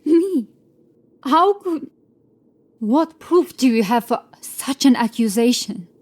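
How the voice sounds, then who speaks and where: A woman's voice: high-pitched, animated, slow pace, a little mellow, pitched above the performer's own voice, exaggerated voice. A woman voicing a stern, haughty prioress, defensive and emphatic, in a horror story. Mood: shocked.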